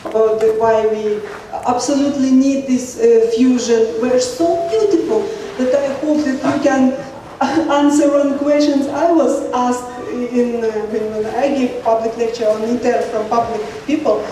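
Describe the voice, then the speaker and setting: Russian-accented woman in the audience, halting and searching for words, mellow tone, far off in an echoey hall. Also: fairly high pitch, fairly even intonation. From Lithuania. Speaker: audience member asking a question. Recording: echoey hall, distant mic, faint noise.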